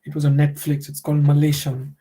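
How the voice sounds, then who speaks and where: A man's voice: on the high side, completely flat delivery, quick pace, dark tone. A man, a Telugu speaker, giving a workshop lecture in a conversational way, full of "you know" fillers. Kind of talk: online lecture.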